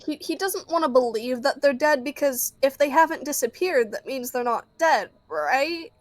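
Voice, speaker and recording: A woman's voice: high-pitched, lively intonation, moderate pace, no room sound. A woman player chatting casually during a tabletop role-playing game, speaking for her character.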